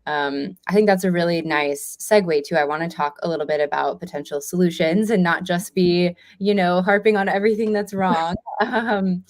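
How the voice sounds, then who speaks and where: A woman's voice: lowish voice, moderate pace, few pauses. A woman with an American accent hosting a conversational interview as a reporter, in an informal, affirming manner.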